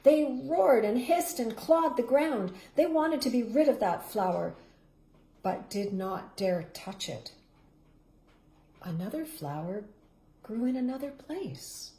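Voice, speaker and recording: A middle-aged woman reading a picture book aloud, dramatic in the loud parts and hushed to a whisper in the quiet ones. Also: fairly high pitch. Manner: expressive, dramatic rises and hushed, whispered endings. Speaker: storybook reader. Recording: roomy echo.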